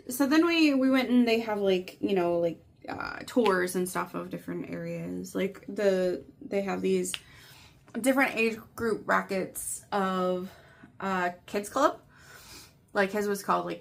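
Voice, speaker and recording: Unhurried, creaky-voiced woman chatting casually. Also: mid-range voice. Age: 30s. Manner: chatty, meandering, frequent "like" and "you know". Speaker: craft vlogger. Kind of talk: casual rambling storytelling chat.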